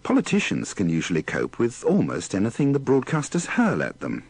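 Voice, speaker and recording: A man's voice: medium pitch, wildly swooping pitch, a little fast, darkish tone, breathy edge, no echo. A man narrating scripted links in a wry style. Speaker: narrator of a radio bloopers compilation.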